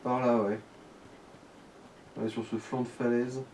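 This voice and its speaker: A man's voice: mid-range voice, level tone, takes it slowly, darkish tone, poor audio. A man chatting casually as he guides a player through a game, explaining from uncertain memory. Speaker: co-commentator guiding the player. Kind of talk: casual gaming chat. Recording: faint background noise.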